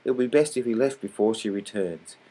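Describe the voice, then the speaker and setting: A man voicing a kindly, poor old grandmother who speaks gently and apologetically. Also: on the low side, brisk, lightly acted. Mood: gentle.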